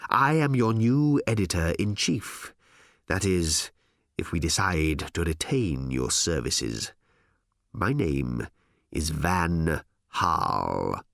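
A man voicing a smooth, cold, pompous editor-in-chief in an audiobook, speaking in a deliberate, condescending manner. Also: low-pitched, lively intonation, a bit bright, dry recording, studio quality, pitched below the performer's own voice, heavily acted. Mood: cool, condescending.

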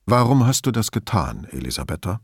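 A man playing a calm, patient male investigator in an audiobook, asking probing questions in a quiet, measured and reserved way. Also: fairly low pitch, slightly rough, no room sound, clean studio sound, heavily acted. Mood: quietly probing. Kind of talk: audiobook character dialogue.

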